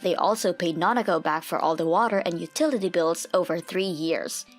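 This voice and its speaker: A woman narrator with a fairly low voice tells a scripted comic-dub story. Light music plays underneath her. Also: fairly quick.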